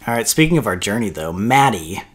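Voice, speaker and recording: A man's voice: fairly low pitch, sing-song, a little fast. A man in his early twenties with an American accent, co-hosting a podcast in a casual, informal conversational style. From Kansas, United States. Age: early 20s. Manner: informal, uses "like" often. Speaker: podcast co-host.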